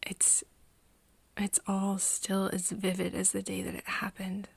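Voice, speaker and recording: A woman acting an earnest, wistful friend in an intimate ASMR roleplay, speaking softly and haltingly, often near a whisper. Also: medium pitch, monotone, no echo, full character voice. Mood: wistful, sad. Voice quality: soft, breathy, often whispery. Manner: hesitant, halting, pausing often.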